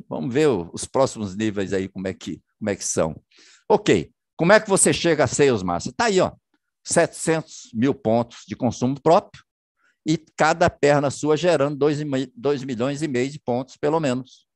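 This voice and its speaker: A man's voice: on the low side, no echo, studio quality. A man presenting over a video call, chatty and full of checking questions as he walks through a plan. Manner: chatty, many checking tags and rhetorical questions. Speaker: business presenter. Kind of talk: presentation over shared slides. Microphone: computer mic on a video call.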